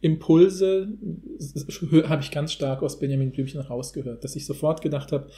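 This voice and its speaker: A man's voice: on the high side, fairly quick, dark tone. A man in a casual analytical podcast discussion, talking in a rambling, academic way.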